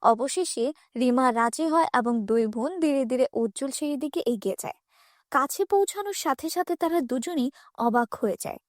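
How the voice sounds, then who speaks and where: A woman with a high voice narrates a children's fairy tale from a script. The recording is close and very dry. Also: animated, fairly quick, no echo.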